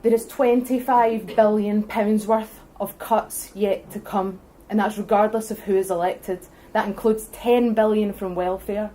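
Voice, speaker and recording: A woman's voice: medium pitch, fairly even intonation, a little slow, hardly any pauses. Earnest Scottish woman giving a debate speech. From Scotland. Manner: earnest, forceful, building arguments point by point. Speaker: debate speaker for independence.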